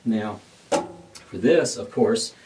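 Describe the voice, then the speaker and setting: Middle aged guy explaining hvac steps, kinda low voice, asks himself questions, mic a bit far. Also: very slow, darkish tone. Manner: step-by-step explaining, asks and answers own questions. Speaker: HVAC instructor. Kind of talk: whiteboard technical lesson. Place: dark studio, writing on a glass board.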